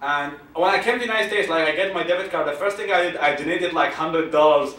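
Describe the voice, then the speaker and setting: A young man with an Iraqi Arabic accent fields audience questions, his fairly high voice stumbling and repeating words. He sits far from the mic in an echoey room with faint ambient hum behind. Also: a bit bright. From Iraq. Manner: halting, repeats words, laughs often. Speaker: guest speaker. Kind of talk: Q&A at a talk.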